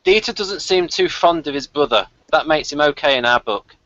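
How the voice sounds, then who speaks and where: Higher-voiced man reviewing television, slightly muffled. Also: expressive, normal speed, sharp tone, no room sound. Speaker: podcast host reviewing a TV series. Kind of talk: casual review chat with read-out notes. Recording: dull, slightly lo-fi audio.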